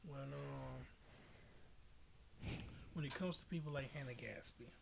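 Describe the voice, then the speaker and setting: A male podcast host with an average-pitched voice, chatting casually and bluntly about his opinions. The recording carries a light layer of noise. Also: a little slow, gravelly.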